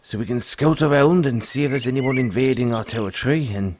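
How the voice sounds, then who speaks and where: A man playing a gruff but friendly forest dragon tamer, talking in a folksy way straight to the listener in an audio roleplay. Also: medium pitch, brisk, darkish tone, a little hiss or hum, lightly acted. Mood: friendly, explaining. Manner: folksy, teasing, calls the listener lad.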